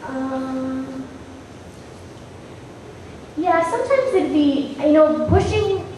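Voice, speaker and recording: A woman voice actress answering questions on a convention panel, hesitant and thoughtful, with many pauses. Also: high voice, expressive, darkish tone, a little hiss or hum. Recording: echoey hall from far off.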